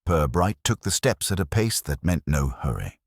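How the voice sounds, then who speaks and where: A man narrating a period comic mystery from a script in a wry, measured, literary manner. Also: very deep voice, very animated, slightly rough, no echo, studio quality. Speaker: narrator of an audio mystery story.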